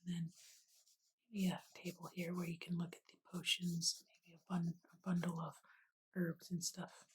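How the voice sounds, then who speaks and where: A woman whispering gently and unhurriedly in a breathy, soothing ASMR style as she narrates her drawing. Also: fairly low pitch, monotone, normal speed. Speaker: ASMR artist and host. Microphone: USB desktop microphone. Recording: noisy close mic, clicks and writing.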